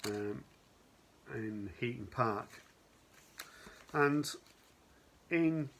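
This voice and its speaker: A man's voice: lowish voice, a bit bright, a little hiss or hum. A middle-aged man from Manchester with a mild Manchester accent, chatting casually about his record collection as he counts down a list. He rambles and fills his talk with lots of "um"s and "you know"s. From Manchester, England. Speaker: vinyl record channel host. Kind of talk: casual chat presenting a countdown.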